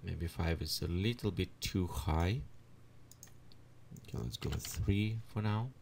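A man with leading a software tutorial at his computer, thinking aloud as he adjusts settings. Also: low voice, vocal fry, slight background noise. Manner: unhurried, thinks aloud while clicking through settings. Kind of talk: screen-recorded software tutorial.

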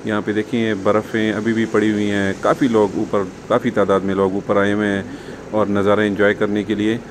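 A man's voice: fairly low pitch, little pitch movement, moderate pace. A man with a Pakistani accent giving casual commentary as a travel vlogger outdoors. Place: outdoors on a mountain pass, partly riding a motorbike. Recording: noisy outdoor recording with wind and vehicle noise.

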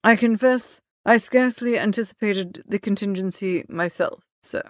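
A woman reading the part of a polished, deferential gentleman's manservant in a formal, measured manner for an audiobook. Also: medium pitch, no room sound, studio quality, lightly acted. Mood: calm, deferential.

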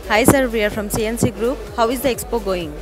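Brisk woman interviewing at an expo. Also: on the high side, music in the background. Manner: brisk, repeats set questions. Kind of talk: quick expo floor interviews. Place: busy indoor trade expo. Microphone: handheld mic.

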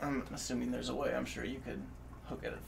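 A man's voice: medium pitch, little pitch movement, quick pace, gravelly. A man in his twenties telling stories in a casual, laid-back, profane way as a podcast co-host. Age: 20s. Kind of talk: casual storytelling chat. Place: furnished home room, indoors. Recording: faint room noise.

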